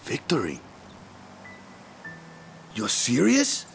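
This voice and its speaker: A man's voice: medium pitch, wildly swooping pitch, drawn-out words, vocal fry, faint music behind, heavily acted. An actor playing a friendly, laid-back island ballplayer in his twenties in a video game, talking in casual slang with tag questions. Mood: easygoing, reassuring. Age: 20s. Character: easygoing, friendly 23-year-old male island ballplayer.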